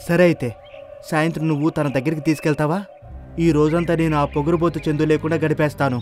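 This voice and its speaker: A man's voice: on the high side, flat delivery, fast, darkish tone, faint music behind, close to an everyday voice. A man voicing an earnest, indignant bull in a cartoon moral story.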